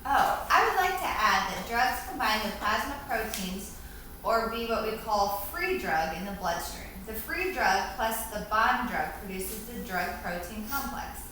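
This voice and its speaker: A woman's voice: mid-range voice, lively intonation, unhurried, bright, forceful tone. A woman reading out a scripted educational presentation as a co-presenter, formal and recited in delivery. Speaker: co-presenter answering questions. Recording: very echoey room, faint noise.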